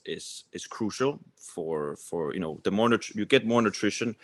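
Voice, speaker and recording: A man's voice: low-pitched, no room sound. A man, answering interview questions in a loose, conversational way as a company executive. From Copenhagen, Denmark. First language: Danish. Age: 30s. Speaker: company executive, podcast guest.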